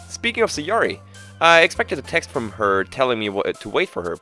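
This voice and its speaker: A man with a cold, hoarse and stuffy-nosed, reading a visual novel aloud and breaking off into chuckling, self-mocking asides. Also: medium pitch, fairly bright tone. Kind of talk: reading a game's text aloud with joking asides.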